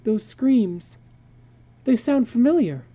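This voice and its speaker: Casting: man playing an anxious mother in a dramatic story, high and mellow, softly worried. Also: expressive, slow pace, full character voice. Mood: fearful.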